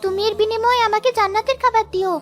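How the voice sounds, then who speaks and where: A kind little village girl from a cartoon, speaking very high and brightly. She sounds earnest and polite, with light music behind her. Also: steady tone, fast talker. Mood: caring. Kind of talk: cartoon dialogue.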